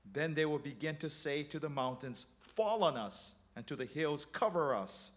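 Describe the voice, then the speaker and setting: A man voicing a suffering teacher who speaks in a low, subdued, solemn way. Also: expressive, normal speed, close to an everyday voice.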